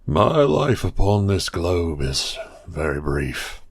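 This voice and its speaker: A man's voice: deep voice, sing-song, husky, pitched below the performer's own voice, exaggerated voice. A man voicing an aging male spirit who speaks with grave, solemn sorrow. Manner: slow, solemn delivery.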